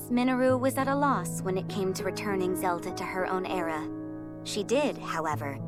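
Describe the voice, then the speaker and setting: A woman's voice: medium pitch, close to an everyday voice. Game chronicle narrator, female actor: mid-range, formal, composed, heavy score underneath. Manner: measured, formal. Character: composed storyteller narrating an ancient war chronicle. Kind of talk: scripted narration. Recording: loud music throughout. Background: loud music.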